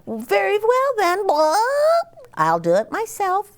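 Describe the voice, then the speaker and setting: A busy storybook mother hen, acted out by a woman, pitched very high with huge swoops. She clucks, pleads and scolds in exaggerated exclamations, bossy and quick to take offence. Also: wildly swooping pitch, full character voice. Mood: pleading.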